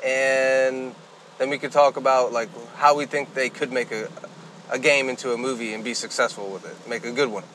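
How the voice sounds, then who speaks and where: Male podcast co-host, mid-pitched, casual and loosely profane, faint ambient noise behind him. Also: steady tone, normal speed, fairly bright tone, rough recording.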